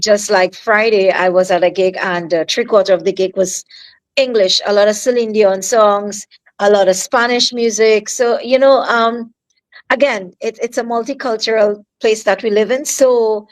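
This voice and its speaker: A woman singer from Trinidad with a Trinidadian accent, answering interview questions over a remote call in a soft, halting way with many fillers and restarts. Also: mid-range voice, steady tone. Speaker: singer guest.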